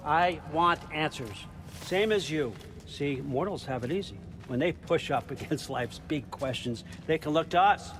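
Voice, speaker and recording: A man's voice: fairly high pitch, unhurried, noisy background, natural voice. A man playing a cunning, aging patriarch of the gods in a video game, speaking in a conversational, coaxing way that can turn commanding. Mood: musing, persuasive. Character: cunning, persuasive old god, patriarch of gods. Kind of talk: cinematic video game acting. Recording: music under the voice.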